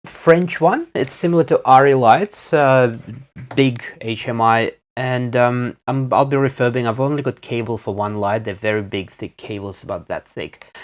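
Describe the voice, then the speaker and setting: A man presenting a casual hands-on electronics demonstration, explaining things in a halting way. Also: mid-range voice. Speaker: presenter reviewing electronics on video.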